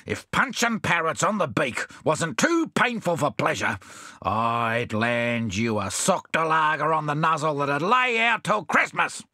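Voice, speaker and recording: A man's voice: on the high side, big pitch swings, a little slow, sharp tone, breathy edge, no echo, studio quality, voice pushed up, heavily acted. Man acting a blustering, hot-tempered storybook adventurer. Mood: boiling rage. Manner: roaring, indignant, colourfully abusive.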